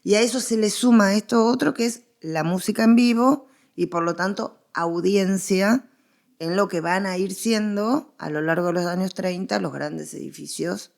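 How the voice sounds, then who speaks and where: A woman's voice: mid-range voice, moderate pace, gravelly. An Argentine woman speaking as a guest expert in a conversational interview, explaining history with emphatic, repeated phrasing.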